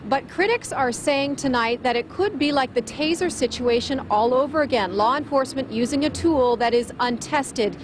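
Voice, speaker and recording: A woman delivers a TV news report in a fairly high voice. There is clear vehicle and ambient noise behind her as she speaks in broadcast style. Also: normal speed, few pauses.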